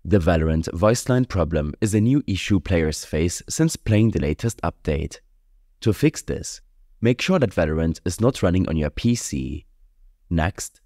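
A man with a low, dark voice narrates a step-by-step tutorial. His delivery is measured and instructional. The sound is close and very dry, like a direct voice-over. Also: dry recording. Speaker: tutorial host.

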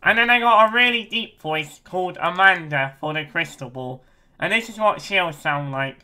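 A young man in his twenties giving an excitable, casual video update from his bedroom on a webcam. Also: high-pitched, moderate pace, clear, resonant tone. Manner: excitable, chatty, frequent giggles. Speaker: game developer and streamer. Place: small bedroom, indoors. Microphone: webcam at desk.

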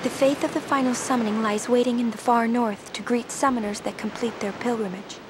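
A woman playing a gentle, dutiful, wistful young summoner in a video game cutscene, speaking softly, hesitantly and earnestly. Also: a little fast, natural voice. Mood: solemn. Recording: game audio over steady surf-like rumble.

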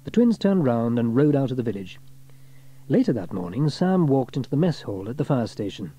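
A man reads a children's story aloud in an expressive way. His voice is mid-pitched and mellow, with a lively rise and fall suited to audiobook narration. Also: brisk, breathy edge, no room sound, dull audio.

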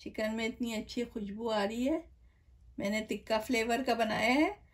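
A middle-aged woman walks viewers through a home recipe at her kitchen counter, chatty and explaining each step as she works. Her voice sits a little high for a woman. She keeps turning to the viewer as she goes. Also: moderate pace. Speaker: cooking channel host. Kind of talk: home cooking demonstration.

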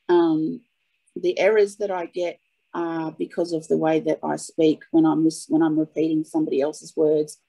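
A woman's voice: low voice, vocal fry, dark tone, slightly rough, poor audio. A woman taking part in a webinar, casually describing her own experience. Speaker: webinar participant, audio typist.

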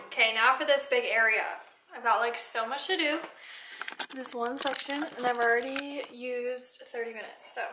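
A woman's voice: a little high, fairly even intonation, moderate pace, very noisy. A young woman in her twenties talking casually and upbeat to camera while cleaning her apartment, with frequent "like"s. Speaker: lifestyle vlogger. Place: apartment kitchen and bathroom.

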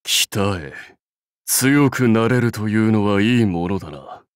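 Samurai warlord for anime game: proud, battle-hungry, archaic declamation, unhurried delivery, very dynamic pitch. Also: male voice, lively intonation, dry recording, studio quality. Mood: satisfied. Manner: grand, archaic, declamatory warrior speech.

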